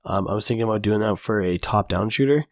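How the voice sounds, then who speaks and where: A raspy-voiced man walks through his code in a relaxed, chatty way, still hoarse from a recent illness. His voice sits fairly low, and the recording is close and dry with no room sound. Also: fast, no echo. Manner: casual, chatty, many fillers. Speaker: tutorial host. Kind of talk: screen-recorded coding tutorial.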